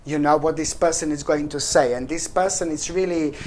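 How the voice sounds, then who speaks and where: A woman's voice: sits low, fairly even intonation, brisk, darkish tone, slight background noise. A woman giving a weekly tarot reading, effusive and repetitive, calling the listener "my gorgeous" and "baby". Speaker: tarot reader.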